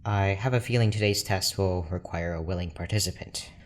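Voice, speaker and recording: A man playing a reserved, formal research doctor in an audio drama, speaking in a measured, dry manner. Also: low voice, close to an everyday voice. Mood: measured, clinical. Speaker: scientist character in an audio drama. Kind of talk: scripted audio drama monologue and dialogue. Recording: clear background noise with ambience.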